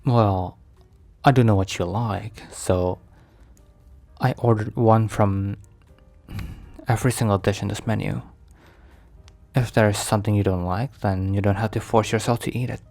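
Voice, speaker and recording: A man playing an overprotective mafia boss boyfriend in an ASMR roleplay, speaking formally with measured, halting, slightly stilted phrasing. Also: lowish voice, steady tone, a little mellow, soft background music. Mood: concerned, gentle.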